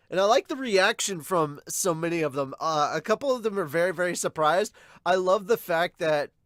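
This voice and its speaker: A man's voice: sits high, animated, normal speed, a bit bright, no echo, clean studio sound. A man with congested sinuses hosting a casual reaction show, rambling and self-deprecating with some mild swearing. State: congested, sniffly from sinus trouble. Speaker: podcast host and manga reactor.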